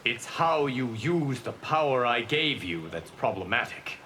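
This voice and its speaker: An actor playing a solemn, wise god of time in a video game, speaking in a measured, formal way. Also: male voice, mid-range voice, sing-song, very noisy. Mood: stern, solemn. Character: solemn, wise male god who keeps time, patient. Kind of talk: video game fight dialogue.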